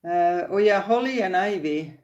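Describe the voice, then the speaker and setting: A woman's voice: mid-range voice, animated, moderate pace, darkish tone, reverberant, dull audio. An older woman with a Finnish accent, hosting a casual live crochet stream from her phone, often restarting sentences and laughing. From Finland. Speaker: crochet channel host.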